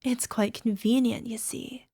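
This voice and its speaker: A lovesick young alchemist girl acted out by a woman speaks in a fairly high voice with no room echo. She coaxes coyly and sounds sweet with a possessive, menacing edge, in the style of an ASMR girlfriend roleplay. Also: fairly even intonation, unhurried, mellow, studio quality. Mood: smug, calm. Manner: coy, coaxing, giggly, tag questions. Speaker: voice actor.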